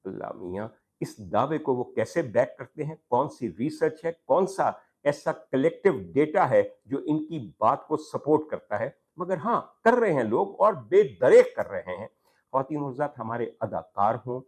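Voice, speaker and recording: Man, Pakistani accent, mid-pitched voice, talk show host, formal opinion monologue, rhetorical, emphatic repetition. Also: sing-song, moderate pace, a little mellow. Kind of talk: opinion monologue to camera on social issues.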